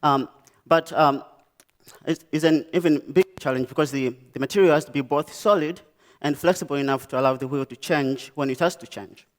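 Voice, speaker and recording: A young man from Malawi with a Malawian accent, giving a conference talk about his design work, pausing often with "um" and "uh". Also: on the high side. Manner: halting, many "um" and "uh" pauses and restarts. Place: lecture stage.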